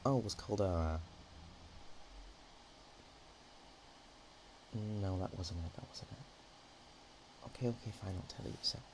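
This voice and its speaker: A man voicing a shy, playful young dragon-dog girl in an ASMR roleplay, speaking softly and haltingly with naive affection. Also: deep voice, noisy background. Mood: curious, hesitant. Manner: hesitant, halting, giggly, soft-spoken. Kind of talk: ASMR roleplay, one-sided intimate chat.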